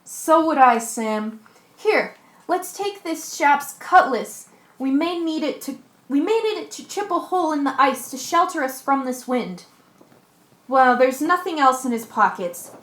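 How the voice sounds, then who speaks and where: A woman's voice: high voice, animated, a little slow, fairly bright tone. A woman playing a bold, practical, commanding ship's mate in an amateur radio play. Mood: curious, determined. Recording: echoey room.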